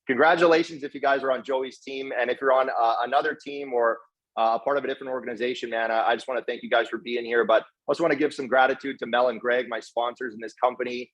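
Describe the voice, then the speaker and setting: A man's voice: mid-range voice, steady tone, quick pace, fairly bright tone. A man giving an informal motivational talk in an earnest, slangy way, often doubling back on his words. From Canada, partly raised in South Africa. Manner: earnest, effusively grateful, slangy bro-talk with repeated words. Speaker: guest speaker, network marketer. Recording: dull, lo-fi online call audio.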